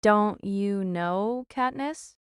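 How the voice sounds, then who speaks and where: A woman's voice: medium pitch, drawn-out words, clear, resonant tone, no room sound, clean studio sound. A woman playing a weary, earnest runaway fugitive in an audio drama.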